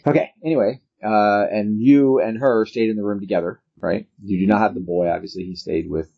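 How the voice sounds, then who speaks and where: A man working as game master, narrating a tabletop role-playing game in a halting way, thinking aloud as he goes. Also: fairly low pitch, steady tone, gravelly. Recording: dull, lo-fi sound.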